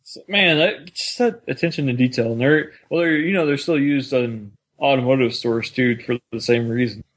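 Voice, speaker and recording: A man's voice: mid-range voice, moderate pace. A man with a mid-range voice co-hosts a podcast. His delivery is casual chat, conversational and unscripted. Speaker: podcast co-host.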